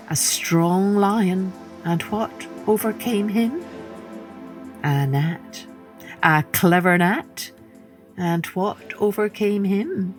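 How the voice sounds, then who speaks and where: Female children's storyteller, unhurried and dramatic, playing with hushes and addressing the listener directly. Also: lowish voice. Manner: theatrical, drops to breathy hushes, questions the listener. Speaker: storyteller, podcast host.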